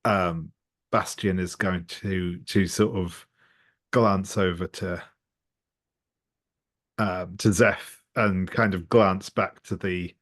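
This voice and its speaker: A man with a low voice talks very slowly as a player in a tabletop game. He is hesitant, feeling out each phrase. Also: steady tone, a bit bright. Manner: hesitant, repeats words while thinking.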